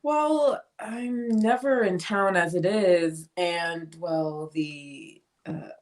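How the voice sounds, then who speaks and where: A woman's voice: on the low side, extremely slow, darkish tone. A woman playing an aloof, sardonic bohemian artist in a dramatic play reading. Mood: cool, veiled disdain.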